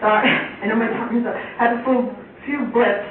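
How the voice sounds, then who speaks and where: A woman's voice: fairly high pitch, steady tone, normal speed, a loud crowd. A woman puppeteer telling a children's story informally, in a chatty, theatrical way. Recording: very echoey, tinny, distant, faint noise.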